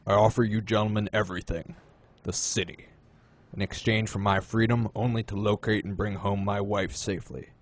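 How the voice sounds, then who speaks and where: A man playing a suave, menacing gentleman crime boss in an audio drama, unhurried and courtly in manner. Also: fairly low pitch, steady tone, close to an everyday voice. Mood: calmly threatening.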